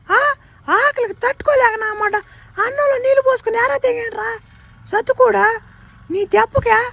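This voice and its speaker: A very high-pitched, scolding cartoon woman. She is whiny and scornful, hurling insults in a comic parody, recorded very dry and close. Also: moderate pace, vocal fry, a bit bright, no echo, heavily acted. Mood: scornful, whining. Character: angry, scolding woman customer, shrill and contemptuous. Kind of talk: comic cartoon dubbing in Telugu.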